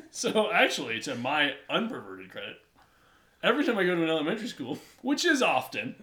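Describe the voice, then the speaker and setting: Casting: young male podcast co-host, lively storyteller, quick to laugh. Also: a little high. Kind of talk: casual chat.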